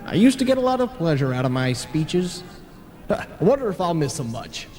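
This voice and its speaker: Radio drama role: stout, folksy, pompous male ghost played by a man, high and animated, in long reverb. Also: normal speed. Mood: delighted. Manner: hearty, folksy, given to speechifying. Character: jovial, stout, pompous small-town speechmaker, newly dead ghost. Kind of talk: old-time radio play acting. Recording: reverberant, noisy analogue tape of radio play.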